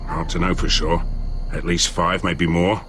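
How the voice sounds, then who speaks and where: An actor playing a blunt, foul-mouthed mercenary leader in a video game, with a gruff, commanding delivery and a gravelly voice. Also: male voice, low-pitched, level tone, a little slow. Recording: music behind the voice.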